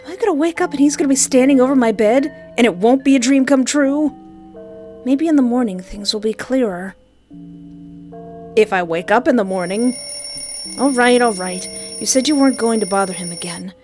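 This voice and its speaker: A woman reading a visual novel aloud, with playful, animated joking asides between the narration. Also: fairly high pitch. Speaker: let's play streamer.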